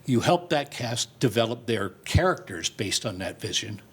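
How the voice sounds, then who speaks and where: A man's voice: medium pitch, sing-song, moderate pace, fairly bright tone, breathy edge. An older man talking informally and good-humoredly in a television interview, explaining his work as a theater director. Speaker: community theater director and set designer.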